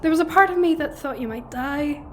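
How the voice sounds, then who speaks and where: A woman playing a calm, teasing, forthright wife in a sci-fi audio drama, with deliberate phrasing. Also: high-pitched, slight background noise, lightly acted. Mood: earnest, teasing.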